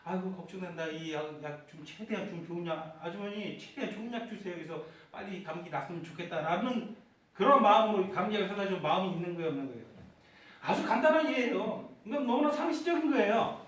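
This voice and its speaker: A man preaching in a church sanctuary, his voice sitting unusually high. He keeps putting questions to the congregation and climbs into fervent, shouted prayers. The sound is very echoey and comes from some way off the mic. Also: expressive, quick pace. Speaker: pastor. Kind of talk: sermon. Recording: echoey church hall heard from far off.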